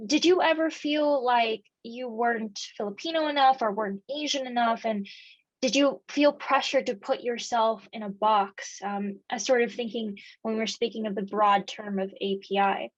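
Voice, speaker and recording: A woman's voice: a little high, normal speed, no echo, highs cut off. A woman hosting an interview, asking long polite questions with plenty of hesitations. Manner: polite, uses many um fillers while asking long questions. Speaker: interviewer, host.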